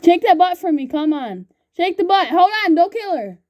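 A woman chatting excitedly while playing an online game with a friend, laughing often and calling everyone bro. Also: very high-pitched, normal speed. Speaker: gamer recording gameplay. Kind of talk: excited gaming banter with a friend.

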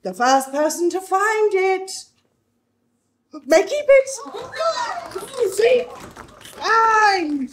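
Woman as high, bumbling pretend prince, echoey. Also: lively intonation, drawn-out words, pauses often, noisy background, exaggerated voice. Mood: grand, faltering. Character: nervous, bumbling young servant posing as a grand prince. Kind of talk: British pantomime stage acting. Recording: echoey theatre stage.

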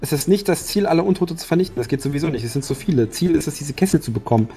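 Player, male, mid-pitched, patient explainer, faint music underneath. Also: lively intonation, racing, warm tone. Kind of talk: tabletop strategy discussion.

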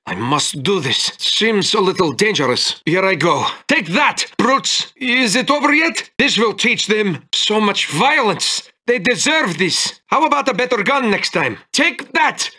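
An actor playing a timid, eager Soviet chief scientist in a video game, with an anxious, excitable, hesitant manner. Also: male voice, high-pitched, a little slow, hardly any pauses, heavily acted. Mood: agitated. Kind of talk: video game unit voice acting.

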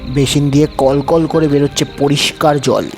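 A man's voice: a little high, flat delivery, normal speed, close to an everyday voice. A man playing a frightened diner who recounts a haunted hotel in a dramatised horror audio story. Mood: suspenseful narration. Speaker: story narrator and lead actor. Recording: music bed under the voice.

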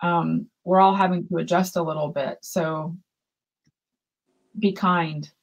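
A woman's voice: lowish voice, fairly even intonation, unhurried, creaky, low-quality recording. A woman in her fifties co-hosting a yarn shop livestream over a video call, chatting and reading viewer comments aloud. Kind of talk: casual livestream chat. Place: room with shelves of yarn behind. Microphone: webcam video call.

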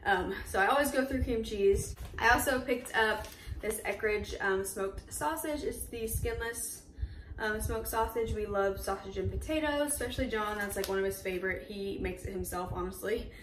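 A woman's voice: medium pitch, moderate pace, fairly bright tone, slight background noise. Young woman vlogging from her kitchen, chatty and bubbly, lots of um and like, roomy echo, a bit far from the mic. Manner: chatty, upbeat, lots of fillers. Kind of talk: casual grocery haul vlog. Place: home kitchen, indoors.

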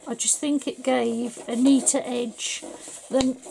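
Chatty slightly hoarse woman, gravelly. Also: high-pitched, slow pace, mellow, slight background noise, rough recording. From England. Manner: chatty, rambling, full of fillers. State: slightly hoarse, losing her voice. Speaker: papercraft tutor. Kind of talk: casual crafting tutorial.

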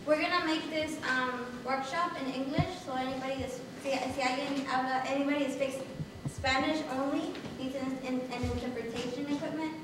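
A young woman presenting a workshop in a hesitant, halting way, recorded from a distance in a meeting room. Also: high voice, steady tone, heavy reverb, background noise. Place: meeting room with brick walls, indoors. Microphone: distant camera microphone.

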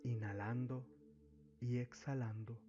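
A man narrating a guided meditation as a spiritual guide, giving deliberate instructions in short phrases with long pauses. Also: mid-range voice, steady tone, dull audio. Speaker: spiritual therapist, meditation guide and channel host. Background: background music.

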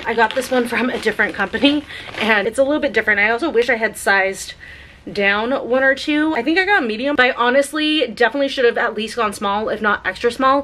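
A woman's voice: a little high, sharp tone, slight background noise. A woman in her thirties, a vlogger, chatting casually about a clothing haul and her makeup, upbeat and thinking aloud with lots of "like"s, filmed at home on a camera mic. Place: bedroom at home, indoors.

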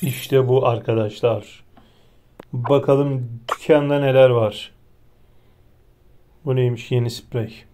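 A man's voice: medium pitch, fairly even intonation, slow pace, pauses often. A man giving casual, chatty gameplay commentary, thinking aloud to his viewers. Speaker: gaming video host. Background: loud game music behind the voice.

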